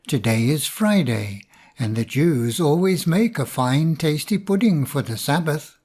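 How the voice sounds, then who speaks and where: A cunning fox talking his way out of trouble, read by a man. He speaks slowly and persuasively, in a fairly high voice. It sounds like a storybook read aloud. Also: animated, darkish tone, husky. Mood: scheming. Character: sly scheming male fox.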